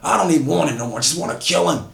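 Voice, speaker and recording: A man's voice: a little high, animated, racing, gravelly, fairly bright tone, pitched above the performer's own voice. A man voicing a hateful, vicious slave catcher with a harsh, sneering voice, recorded in an echoey room.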